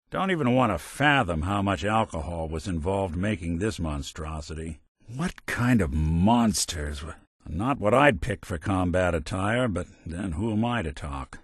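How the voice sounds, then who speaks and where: A man's voice: sits low, slightly rough, dry recording, exaggerated voice. A man playing a world-weary, middle-aged android detective in a video game, with an American accent and a wry, hard-boiled way of talking. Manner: wry, dry, old-fashioned hard-boiled slang. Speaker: companion character in a video game.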